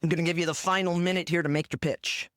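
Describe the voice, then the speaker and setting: A man's voice: fairly high pitch, steady tone, fast talker, a bit bright, dry recording, clean studio sound. A man hosting a radio interview, talking in a plain, conversational way. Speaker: radio host.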